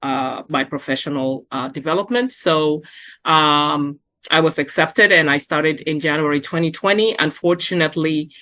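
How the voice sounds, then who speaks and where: Guest: middle-aged woman, native Arabic speaker, deep yet bright voice, halting and repetitive. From South Sudan. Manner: halting, repeats words, many fillers. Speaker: program alumna and epidemiologist. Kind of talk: recounting career path in a webinar.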